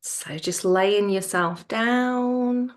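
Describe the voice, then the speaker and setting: Slow, soft-spoken woman guiding relaxation. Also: mid-range voice. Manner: soothing, gentle, unhurried with pauses. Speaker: yoga nidra teacher. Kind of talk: guided relaxation instruction.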